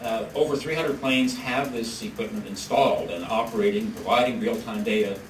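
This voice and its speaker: Male presenter, halting and filler-heavy delivery, roomy reverberant hall. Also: lowish voice. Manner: halting, many fillers and restarts. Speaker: policy researcher presenting a study. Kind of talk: conference presentation. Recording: echoey room from far off, noisy.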